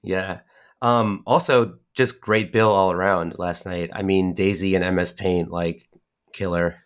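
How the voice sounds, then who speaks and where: A man hosting an interview, chatting in a relaxed, enthusiastic way and often saying "like". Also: deep voice, unhurried, smooth. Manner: enthusiastic, chatty, uses "like" a lot. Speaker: podcast host. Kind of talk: casual interview chat.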